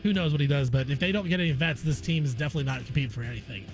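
Higher-pitched male sports radio host. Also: rapid-fire, vocal fry, faint music behind. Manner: conversational, repeats phrases for emphasis. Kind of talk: casual sports commentary.

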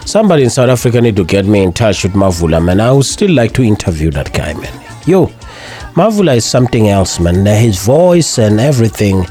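A man hosting a community radio show, chatting in a casual, lively and enthusiastic way. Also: on the low side, brisk, soft background music. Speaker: radio host. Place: radio studio.

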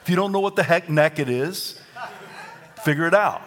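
Lively Southern pastor preaching. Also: male voice, on the high side, moderate pace, noisy background. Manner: lively preaching, rising to near-shouts and singing. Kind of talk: sermon.

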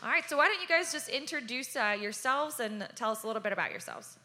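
A woman with a bright, clear voice moderates a panel discussion. She asks her questions in a relaxed, upbeat way. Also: on the high side, fast. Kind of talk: hosting a panel Q&A.

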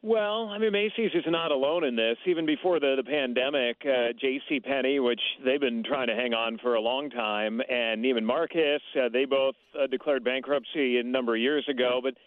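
A man's voice: mid-range voice, moderate pace, few pauses, no room sound. A man calling in as a guest reporter, steadily explaining retail news with frequent "uh" fillers. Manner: steady, thorough, with many "uh" fillers. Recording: thin phone line.

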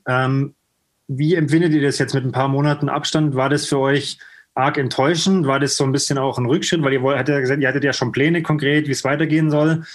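A man's voice: mid-range voice, fairly even intonation, quick pace. Man asking long, hedging questions. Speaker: interviewer. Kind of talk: casual interview.

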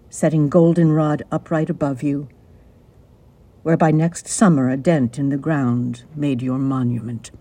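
A man reads poetry slowly in a husky voice. The tone is mellow and mid-pitched, and each phrase is placed with deliberate care. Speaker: poetry reader. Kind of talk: poetry recitation.

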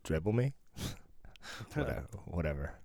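Deep-voiced joking man with a cough. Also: sing-song, a little slow, gravelly, darkish tone, no echo. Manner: wisecracking, laughs often. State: has a cough, sucking a cough drop. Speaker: podcast co-host. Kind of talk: casual joking chat. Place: friend's bedroom.

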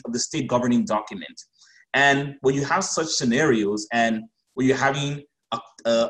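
A man from Cameroon, a researcher on a discussion panel, speaking in a measured way with frequent "you know" fillers. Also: medium pitch, low-quality recording. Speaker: researcher on democratic transitions, panelist.

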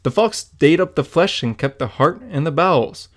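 A man's voice: on the high side, expressive, moderate pace. Young man, giggly comic-book podcast host. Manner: laughs often while summarizing a story.

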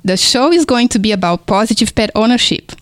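A woman from Brazil with a Brazilian Portuguese accent, hosting a community radio show in a friendly, unhurried way. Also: a little high, expressive, dry recording. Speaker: radio host and dog trainer. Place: radio studio.

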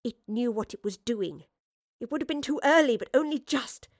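A woman playing an earnest, urgent female professor and time traveller in an audio drama, speaking intensely in halting phrases. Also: on the high side, lively intonation, dry recording, clean studio sound, voice pushed up. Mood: grave realisation.

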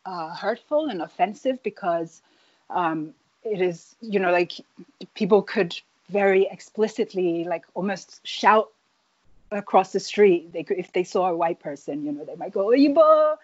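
A woman who grew up in Nigeria, an author answering interview questions thoughtfully, with many pauses and restarts. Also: medium pitch, lively intonation, no room sound, dull audio. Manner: hesitant, many fillers and restarts. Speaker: author, guest.

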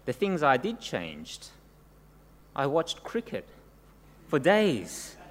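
A man's voice: fairly high pitch, wildly swooping pitch, slow. A middle-aged man, born in Korea, preaching an earnest sermon to a congregation and often ending points with tag questions. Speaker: pastor. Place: church service before a congregation. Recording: long church-hall reverb, faint noise.

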